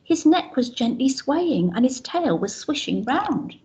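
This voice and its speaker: A woman's voice: high voice, sing-song, unhurried, mellow, low-quality recording. A woman teacher reading a rhyming picture book aloud to young children, pointing out the pictures as she goes. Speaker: infant school teacher.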